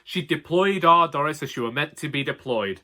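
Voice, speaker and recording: A man with a Northern English accent tells a comic first-person story in a bright, forward voice. He plays a put-upon older husband, chatty and colloquial, with wry exasperation. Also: on the high side, lively intonation, normal speed. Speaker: audiobook narrator.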